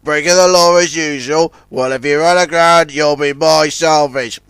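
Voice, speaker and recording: A man voicing a blustering tugboat gang leader with a sneering, shouting manner in a children's story. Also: fairly high pitch, fairly even intonation, normal speed, clean tone, no room sound, clean studio sound. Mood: sneering, bullying.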